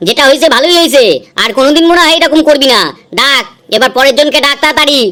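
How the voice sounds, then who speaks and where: A woman's voice: sits high, fast, sharp tone. A woman voicing a bossy, self-important older male boss of beggars in a comedy cartoon, given to scolding.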